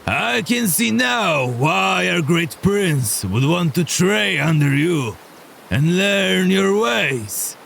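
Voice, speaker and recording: A huge fish-man warrior in a fantasy audio drama. His voice is very gravelly and creaky, drawn out slowly with big swoops of pitch. He is effusive and admiring. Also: big pitch swings, fairly bright tone, a little hiss or hum, exaggerated voice. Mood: exuberant admiration. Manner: slow, effusive.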